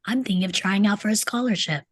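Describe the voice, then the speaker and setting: A woman's voice: medium pitch, fast talker, no room sound, clean studio sound, close to an everyday voice. A woman playing an insolent, wisecracking teenage delinquent boy in a script read, flippant and defiant. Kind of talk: table read of a film script.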